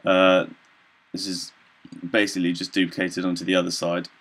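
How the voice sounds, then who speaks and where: A young man giving an informal software demonstration as a student presenter, hesitant and halting, with frequent ums and throat clearing. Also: deep voice, monotone. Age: young adult.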